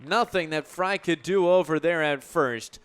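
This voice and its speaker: A man with a fairly high voice calls live baseball for the radio. He works at an unhurried pace in short, punchy phrases that swell on big plays. A light layer of ballpark noise sits behind him. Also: a bit bright, a faint crowd. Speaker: baseball radio play-by-play announcer. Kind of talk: live sports play-by-play commentary.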